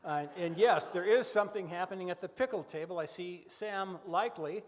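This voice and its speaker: A man playing a fretful, excitable festival reporter in a comedic radio play, hesitant in his live commentary. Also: high-pitched, a little fast, a little hiss or hum, close to an everyday voice. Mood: hesitant, reporting. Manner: hesitant, full of uhs. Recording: echoey hall.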